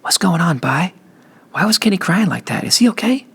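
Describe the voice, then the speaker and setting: A man's voice: sits high, sing-song, brisk, vocal fry, a bit bright, breathy edge, pitched above the performer's own voice, full character voice. A man voicing a concerned, scolding mother in an audiobook story. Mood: worried whisper.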